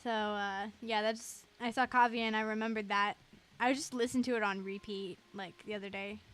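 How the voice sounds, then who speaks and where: A woman's voice: medium pitch, fairly even intonation, moderate pace, a bit bright, clear, resonant tone. A young woman livestreaming a game, chatting casually in a gushing, chatty way.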